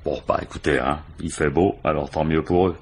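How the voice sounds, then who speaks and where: Gruff old-school french police chief, low voice, dry irony, radio play with a bit of hiss. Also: male voice, fairly even intonation, fairly quick. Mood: weary, authoritative. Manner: colloquial, muttering, dryly ironic. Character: gruff, world-weary, paternal French police commissioner. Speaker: actor playing a police commissioner. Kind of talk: French radio crime drama acting.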